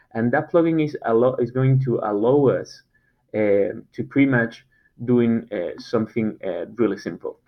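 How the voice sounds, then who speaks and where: A man, a web design company owner, casually walking through a software tutorial with lots of fillers and restarts. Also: lowish voice. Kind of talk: screen-recorded software tutorial. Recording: slightly dull, lo-fi sound.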